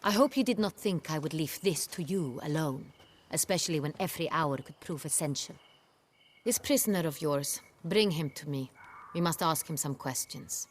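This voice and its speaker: A woman's voice: deep voice, normal speed. A woman playing an imperious, impatient duchess in a video game, speaking in a regal, clipped, formal manner. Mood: cool command. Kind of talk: video game cinematic acting.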